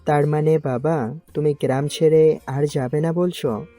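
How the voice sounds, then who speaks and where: A man's voice: fairly high pitch, fairly even intonation, unhurried, mellow, soft background music, lightly acted. A man playing a kindly older village schoolteacher, fatherly and welcoming, in a romantic audio story. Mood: concerned.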